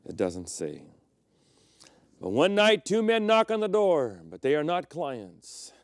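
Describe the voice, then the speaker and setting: A middle-aged man preaching a sermon as pastor, telling personal stories and swinging between loud emphatic peaks and quiet, low passages. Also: huge pitch swings, takes it slowly, no room sound. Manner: storytelling preacher, personal anecdotes.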